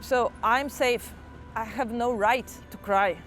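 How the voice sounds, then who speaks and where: A young woman from Ukraine with a Ukrainian accent, a motivational teacher calmly and earnestly reflecting in her own words. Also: a little high, faint music behind. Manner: calm, earnest and measured, with small restarts. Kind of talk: personal first-person reflection.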